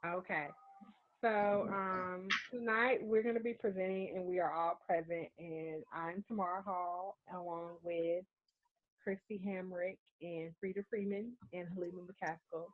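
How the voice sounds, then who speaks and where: A woman's voice: on the low side, steady tone, phone-quality sound. Stuffy-nosed woman presenting on a video call. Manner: explanatory, measured, frequent "um" and "of course". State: stuffy nose from a head cold. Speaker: student presenter.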